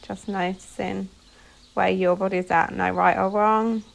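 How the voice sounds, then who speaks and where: A woman leading a guided meditation as a yoga nidra instructor, giving soothing instructions phrase by phrase with pauses. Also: medium pitch, little pitch movement, vocal fry.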